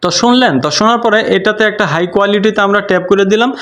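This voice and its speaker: Fast-talking man with a high-pitched voice. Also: steady tone, a bit bright. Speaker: tutorial host. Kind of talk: step-by-step app tutorial narration over screen actions.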